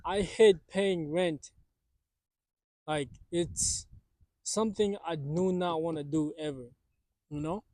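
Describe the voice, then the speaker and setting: A man's voice: on the high side, slow pace, long pauses, darkish tone, no echo. A man in his twenties vlogging casually from his parked car, rambling with lots of fillers and restarts. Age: 20s. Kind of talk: casual vlog monologue. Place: parked car in a parking lot.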